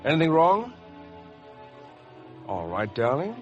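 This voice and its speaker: A man's voice: a little high, huge pitch swings, slow pace, lo-fi sound, exaggerated voice. An actor playing an earnest, troubled man in a 1950s radio drama. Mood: concerned. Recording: old radio broadcast with music behind.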